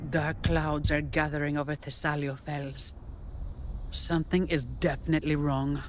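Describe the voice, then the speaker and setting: A woman's voice: sits low, flat delivery, normal speed, a little hiss or hum, natural voice. An actress playing a grave woman lookout in a game, giving ominous reports.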